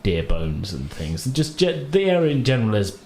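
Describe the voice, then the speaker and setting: A man's voice: fairly low pitch, sing-song, brisk, darkish tone. A man running a tabletop game, improvising descriptions in a loose, colloquial, hesitant way. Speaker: game master. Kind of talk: improvised tabletop game narration and casual chat. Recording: roomy echo, faint background noise.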